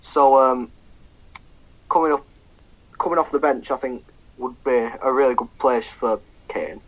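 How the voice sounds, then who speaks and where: A man's voice: mid-range voice, a little slow, fairly bright tone, rough recording. A young man co-hosting a casual football podcast, swearing a lot and rambling with many ums. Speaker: podcast co-host.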